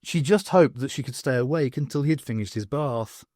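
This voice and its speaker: A man's voice: medium pitch, a little fast, a little mellow, no echo, clean studio sound. A man reading scripted fiction aloud as an audiobook narrator.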